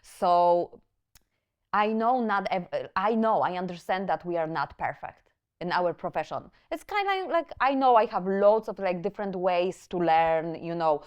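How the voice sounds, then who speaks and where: A woman's voice: fairly low pitch, unhurried, clean tone, lo-fi sound. A woman from Poland with a Polish accent, hosting a podcast as a nail educator, talking animatedly with frequent restarts. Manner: animated, restarts words, loose English grammar. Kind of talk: casual chat.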